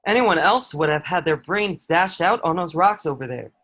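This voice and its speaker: A man acts out a medieval soldier with a notably high male voice. He is a proud, dutiful lackey who is quietly awed by his prisoner. His phrasing is earnest and formal, as in a historical stage play. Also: lively intonation, normal speed. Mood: amazed. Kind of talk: table-read stage acting of historical drama.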